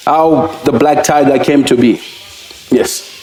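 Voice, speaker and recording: A man's voice: mid-range voice, steady tone, slow pace, darkish tone. A man hosting a casual interview, upbeat and welcoming, often saying "guys" and "exactly". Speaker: host. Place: distillery room. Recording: echoey room.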